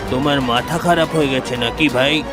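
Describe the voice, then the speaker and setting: An actor voicing a skeptical villager in a cartoon, challenging others in an indignant, scolding manner. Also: male voice, mid-range voice, moderate pace, over music, natural voice.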